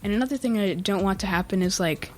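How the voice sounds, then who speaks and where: A man's voice: high-pitched, soft background music. Teenage boy with a scratchy morning voice. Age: teens. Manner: halting, many fillers and throat-clearing. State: scratchy, just-woken voice. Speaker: podcast co-host. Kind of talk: casual podcast chat. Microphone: home podcast microphone with mixer.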